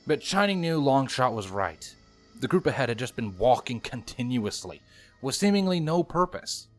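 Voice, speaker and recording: Male narrator, mid-range voice, dramatic storytelling, scripted, soft background music. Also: mid-range voice, sing-song, normal speed. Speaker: fan fiction narrator.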